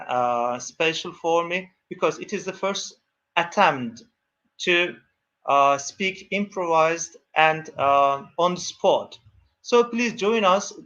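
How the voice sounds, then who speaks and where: Slow, high-voiced male stream host. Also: pushed and bright. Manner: slow, halting, many fillers and restarts. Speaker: host of a live English practice stream. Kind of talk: live stream hosting, interviewing a guest. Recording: dull lo-fi stream audio.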